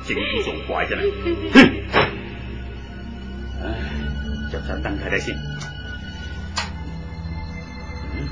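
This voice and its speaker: Exasperated tired working man, animated intonation, grumbling, Hong Kong Cantonese, radio drama over music. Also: medium pitch, low-quality recording. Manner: grumbling, sighing, excitable. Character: weary, exasperated working man plagued by prank calls. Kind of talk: 1980s radio drama acting.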